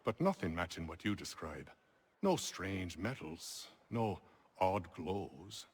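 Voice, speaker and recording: Low-voiced, genial colonial merchant. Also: male voice, very animated, takes it slowly, slightly rough, full character voice. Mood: reassuring. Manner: articulate, storytelling, wry. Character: genial, well-spoken Irish-born male colonial landowner and merchant. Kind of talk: video game cutscene acting.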